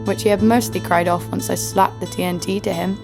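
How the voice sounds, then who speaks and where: A woman's voice: mid-range voice, fairly even intonation, a little fast, vocal fry, natural voice. Woman as wry adventurer narrating over music. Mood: wry storytelling. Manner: wry, storytelling. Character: wry tough female adventurer telling her story. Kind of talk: scripted first-person drama narration.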